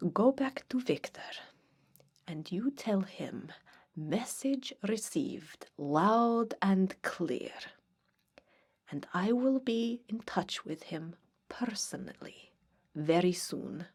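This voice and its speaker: Woman as a haughty vampire in breathy whispers. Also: mid-range voice, animated, darkish tone, exaggerated voice. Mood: haughty dismissal. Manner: disdainful, formal, archaic phrasing, deliberate. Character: haughty, cold, centuries-old female vampire blood hunter recruiter. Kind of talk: whispered ASMR roleplay monologue.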